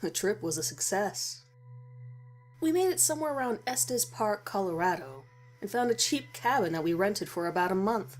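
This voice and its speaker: A woman's voice: medium pitch, lively intonation, moderate pace, rough recording. A woman reading a first-person horror story as a scripted narration. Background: music.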